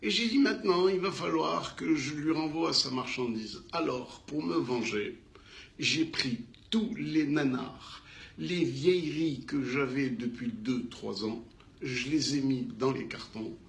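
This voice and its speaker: A man with a North African French accent telling a business anecdote in an animated way, acting out the dialogue with emphatic repetitions. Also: medium pitch, a little slow, gravelly, echoey room, slight background noise. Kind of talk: animated storytelling.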